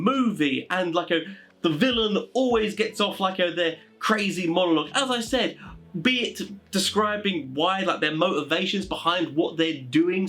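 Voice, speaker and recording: A man hosting a casual explainer for tabletop game masters, talking in an animated, rambling, informal way. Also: high-pitched. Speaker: video host giving tabletop game master advice.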